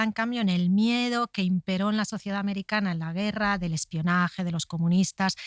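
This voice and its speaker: A woman with a Spanish accent from Spain speaks in a fairly low register. She tells stories as an interviewed author, expressive and conversational. The sound is dry, with very little room around the voice. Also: no echo. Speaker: guest, author and podcast creator.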